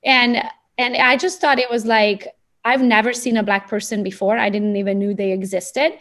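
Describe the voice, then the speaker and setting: A woman in her thirties with a Romanian accent, telling personal stories in a casual, chatty, animated way as a podcast guest. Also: fairly high pitch, normal speed. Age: 30s. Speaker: podcast guest, real estate agent and coach.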